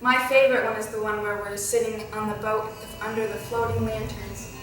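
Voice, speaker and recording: A woman's voice: mid-range voice, little pitch movement, a little fast, a bit bright, slight background noise, lightly acted. A woman playing a cheerful young fairytale princess who explains things simply and kindly to children. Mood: friendly, upbeat. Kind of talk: scripted children's educational presentation in character. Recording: very echoey room, distant mic, music behind.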